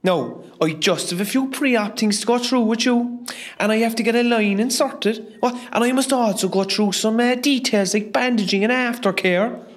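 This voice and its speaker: A woman's voice: a little high, normal speed, natural voice. A woman playing a friendly male nurse in a radio comedy, polite and reassuring. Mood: friendly, businesslike. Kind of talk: comedy radio play acting.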